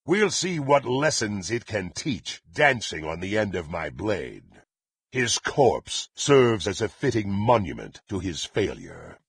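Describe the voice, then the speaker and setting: A haughty, power-hungry dark warrior for a fantasy video game. His low, rasping male voice swings dramatically in pitch as he delivers threats in lofty, old-fashioned phrasing. He sounds violent, proud and utterly menacing. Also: very animated, takes it slowly, no echo, clean studio sound, exaggerated voice. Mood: cold menace. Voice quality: gravelly, raspy. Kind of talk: theatrical fantasy game voice acting.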